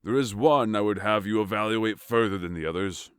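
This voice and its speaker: Man acting as a deep, cold warlord king. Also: smooth, no echo, studio quality, close to an everyday voice. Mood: commanding, calculating. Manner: measured, authoritative, formal. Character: large, tall warlord king, cold, commanding, ruthless strategist. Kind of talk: audio fiction acting.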